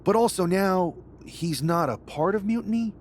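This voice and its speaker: A man's voice: high voice, expressive, moderate pace, slight background noise. A man playing a skeptical, puzzled wrestling teammate in casual video game dialogue.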